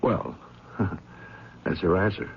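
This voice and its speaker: Creaky, flustered, evasive man. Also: low-pitched, drawn-out words, heavily acted. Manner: hesitant, stammering, evasive. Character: evasive, flustered man being questioned by police. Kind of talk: 1970s radio drama acting. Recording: muffled, noisy old radio broadcast.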